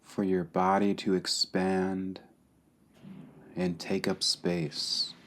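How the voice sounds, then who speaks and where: A man leading a guided meditation calmly and deliberately, pausing between short phrases. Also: sits low, slight background noise. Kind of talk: guided meditation, slow and spacious.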